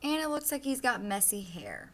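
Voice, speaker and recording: A woman's voice: a little high, big pitch swings, moderate pace. A woman teacher leading a read-aloud lesson for children, explaining step by step in simple words. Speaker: elementary school teacher.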